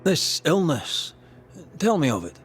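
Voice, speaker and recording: An actor playing a plain-spoken, skeptical working ghost hunter in a video game, with a blunt, wry manner. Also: male voice, on the high side, very animated, takes it slowly, soft background music. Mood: probing, businesslike. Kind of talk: dramatic video game acting.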